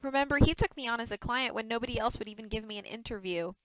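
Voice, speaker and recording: A woman's voice: a little high, fast talker, dry recording, lightly acted, rough recording. A woman voicing a proud, fiery young soap opera actress in an audiobook. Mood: soft, reassuring. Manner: sharp, forthright, dramatic.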